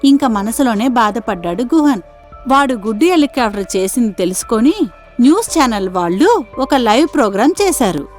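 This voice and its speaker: A woman's voice: a little high, animated, normal speed, a little mellow, faint music behind. A woman narrating a scripted moral story as its storyteller.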